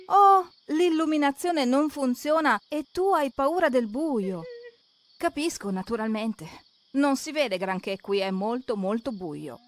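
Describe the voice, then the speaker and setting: A woman's voice: high voice, lively intonation, measured pace, a little hiss or hum. A woman narrating a children's cartoon, expressively asking questions and talking straight to the characters.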